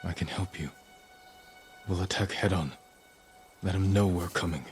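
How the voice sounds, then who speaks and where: Deep, solemn samurai man played by an actor. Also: lightly acted. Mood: resolute. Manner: solemn, measured, resolute. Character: stern, loyal samurai man bent on justice. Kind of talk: dramatic video game acting. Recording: music behind the voice.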